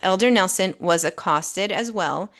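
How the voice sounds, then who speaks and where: A woman's voice: medium pitch, a little slow, a bit bright. A woman co-hosting a podcast, explaining and reading out reports in a methodical, emphatic way, often checking in as she goes. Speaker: podcast co-host.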